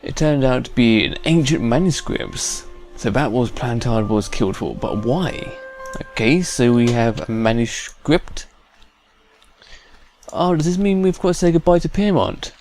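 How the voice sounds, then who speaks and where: A man hosts a let's play in a medium-pitched voice. He chats casually through his commentary, with faint game music behind him. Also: expressive, measured pace, darkish tone. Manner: chatty, hums to self. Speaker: gamer, let's play host.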